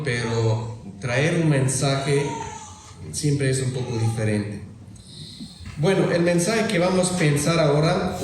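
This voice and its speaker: A man whose native language is German preaches and tells stories in a halting, deliberate way, as if working in a second language. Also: mid-range voice, far from the mic. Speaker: guest preacher at a church gathering. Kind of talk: sermon with storytelling. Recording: very echoey hall, noticeable background noise.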